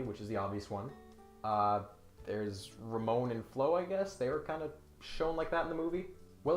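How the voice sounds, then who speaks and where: A man delivering scripted comedic commentary in an emphatic, mock-serious way. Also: on the high side. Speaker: video essay host. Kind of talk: scripted comedic commentary with dramatic read-aloud passages. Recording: roomy echo, music behind.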